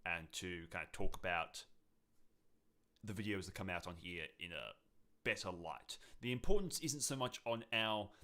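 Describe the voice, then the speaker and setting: A man's voice: low voice, animated, normal speed, pushed and bright. A young man co-hosting a podcast in a casual, conversational style. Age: young adult. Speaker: podcast co-host.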